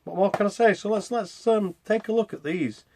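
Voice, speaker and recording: A man's voice: sits high, moderate pace, a little mellow, a little hiss or hum. Rambling man casually commentating on games. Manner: rambling, self-deprecating. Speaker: video game reviewer and channel host.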